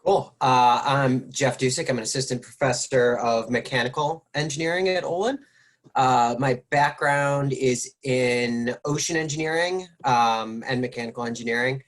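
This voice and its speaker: A man's voice: mid-range voice, normal speed. A man who is a professor, introducing himself with a light, joking touch. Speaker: professor and panel moderator. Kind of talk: self-introduction.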